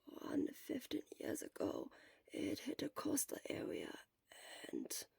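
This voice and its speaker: A woman voicing a sick, weary teenage boy in a weak, breathy whisper, halting and embarrassed, for an audio story. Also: sits high, wildly swooping pitch, a little mellow, voice pushed up, heavily acted. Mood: weak, hesitant. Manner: halting, faltering.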